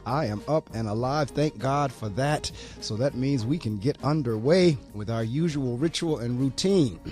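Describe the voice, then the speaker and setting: A man's voice: medium pitch, normal speed, a little mellow, faint music behind. A man hosting a morning radio show and reading the weather, with a slightly froggy voice, in an upbeat talkative way. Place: small college radio studio. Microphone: studio mic.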